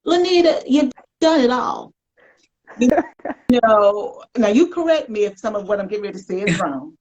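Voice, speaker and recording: An American woman hosting a conversational interview in an animated, folksy, affirming way. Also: mid-range voice, moderate pace. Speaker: talk show host. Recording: dull lo-fi web call audio.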